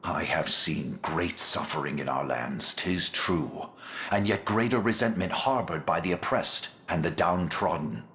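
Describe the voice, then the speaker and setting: An actor playing a grave, loyal counselor to a prince, speaking in formal, solemn oratory in a fantasy video game. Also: male voice, low voice, expressive, unhurried, sharp tone, slight background noise, heavily acted. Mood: solemn, rousing.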